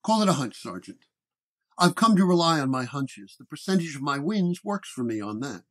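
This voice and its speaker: A man playing a calm, measured, wry paranormal detective in a horror story. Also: a little high. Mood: confident, measured.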